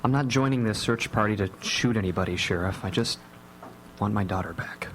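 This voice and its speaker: A man's voice: lowish voice, brisk, fairly bright tone, lightly acted. Man acting worried father, noisy recording. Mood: anxious, steady. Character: worried, gentle father of a missing girl. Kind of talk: live radio drama acting. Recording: noisy, humming live radio broadcast.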